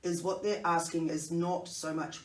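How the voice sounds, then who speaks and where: Host with a New Zealand accent, thinking aloud, mid pitch, roomy studio with a distant mic. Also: steady tone, moderate pace. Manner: reflective, thinks aloud with fillers and restarts. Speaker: podcast and radio host. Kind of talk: conversational interview. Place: radio studio.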